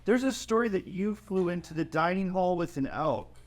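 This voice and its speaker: An actor playing a chatty, oblivious young dragon brother in a fantasy table read. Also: male voice, sits high, lively intonation, normal speed.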